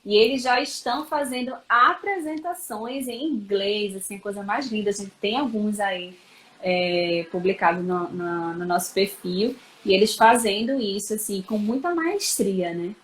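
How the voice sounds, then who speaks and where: A woman's voice: mid-range voice, measured pace, rough recording. A Brazilian woman educator explaining school methods in a live-stream chat, with many fillers. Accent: Brazilian Portuguese.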